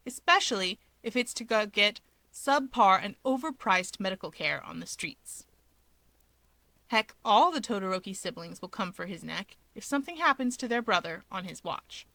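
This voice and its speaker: A woman narrating fiction in an expressive, wry, conversational way. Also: mid-range voice, dry recording.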